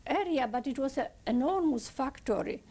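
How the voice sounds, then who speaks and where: A woman in her seventies from Poland with a Polish accent, giving halting, slow oral history testimony in non-native English. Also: sits high, expressive, warm tone. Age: 70s. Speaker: interviewee.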